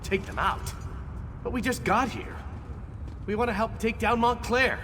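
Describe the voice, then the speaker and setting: An actor playing a brave, battle-hungry young warrior companion in a fantasy video game, eager and boyishly insistent. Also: male voice, extremely high pitch, unhurried, a bit bright, long reverb tail, a little hiss or hum. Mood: eager, protesting.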